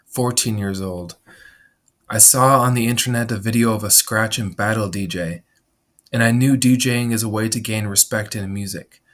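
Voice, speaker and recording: Man, 30s, from Minnesota; slightly low voice, measured memoir read, occasional throat clearing. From Minnesota, USA. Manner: measured, steady reading with frequent throat clearing. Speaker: memoir narrator. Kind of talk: reading a personal memoir aloud.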